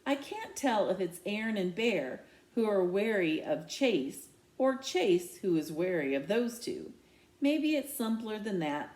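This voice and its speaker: A woman reading a children's novel aloud in the first person, with expressive, emphatic storytelling. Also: mid-range voice. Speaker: read-aloud reader. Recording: echoey, roomy home recording.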